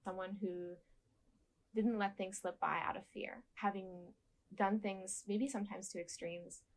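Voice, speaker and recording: A young woman with a soft voice giving gentle, thoughtful interview answers with frequent ums and restarts, seated in a dark studio. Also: medium pitch, steady tone, measured pace, a little hiss or hum.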